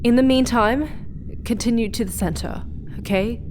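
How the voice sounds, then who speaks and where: A woman playing a cautious but determined starship explorer in a sci-fi horror audio drama, speaking in a hushed, halting, deliberate way. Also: fairly high pitch, background noise, natural voice. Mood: firm.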